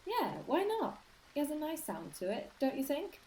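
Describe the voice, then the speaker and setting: An actress playing an easygoing woman in a casual, unhurried radio drama dialogue, with some noise behind her. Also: high-pitched, sing-song, warm tone. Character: easygoing woman casually offering suggestions at bedtime.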